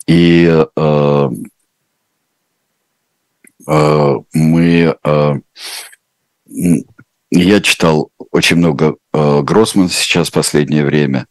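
A man with an extremely deep, low voice answers questions slowly and thoughtfully, filling his pauses with drawn-out hesitation sounds. The recording is dry and close, with no room echo. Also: fairly even intonation. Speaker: translator answering viewer questions. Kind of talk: unscripted reflective answers.

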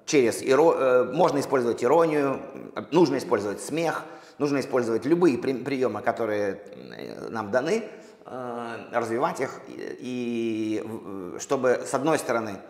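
A man from Russia, a poet, answering interview questions slowly and thoughtfully, with many pauses and restarts. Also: medium pitch, a little mellow. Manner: halting, many fillers and restarts. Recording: echoey room with long reverb.

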